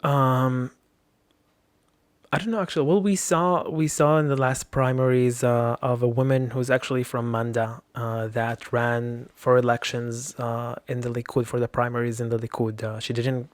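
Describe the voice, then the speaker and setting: A man's voice: medium pitch, flat delivery, normal speed, no echo. A man from Lebanon, a podcast guest, speaking in a hesitant way with many fillers. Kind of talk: casual interview talk.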